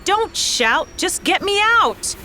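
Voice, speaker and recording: An actress playing a tough, vengeful soldier in a video game, with a terse, commanding, sardonic manner. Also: female voice, very high-pitched, very animated, takes it slowly, heavily acted. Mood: urgent. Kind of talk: video game cutscene acting. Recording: loud music behind the voice. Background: music.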